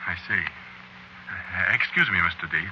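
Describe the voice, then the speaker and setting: A meek, hapless big man in an old radio play. His voice is on the low side but very sharp-edged, and it rises and falls widely as he stammers in confusion. There is some vintage broadcast noise. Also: expressive, unhurried, dull audio, heavily acted. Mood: resigned. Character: big, bewildered, meek male radio actor facing doom. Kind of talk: vintage radio drama acting. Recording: noisy vintage radio recording.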